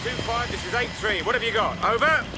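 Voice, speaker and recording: An actor playing a blunt, harried police sergeant with a British accent, barking orders in a radio drama. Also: male voice, very high-pitched, a little fast, heavy background noise. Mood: urgent, commanding. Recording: radio drama with loud soundtrack.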